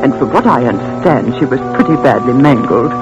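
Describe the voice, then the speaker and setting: A woman's voice: extremely low pitch, animated, fairly quick, muffled recording. An actress playing a gushing, gossipy small-town woman in a 1940s radio drama. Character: gossipy small-town sister Lizzie, chatty. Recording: old radio recording with music behind.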